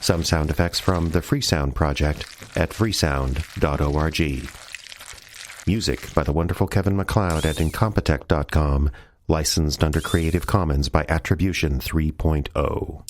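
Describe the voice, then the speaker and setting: A man's voice: very deep voice, moderate pace, a little hiss or hum. A man reading the credits and a friendly, earnest appeal to listeners at the end of a podcast. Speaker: podcast announcer. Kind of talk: scripted announcement.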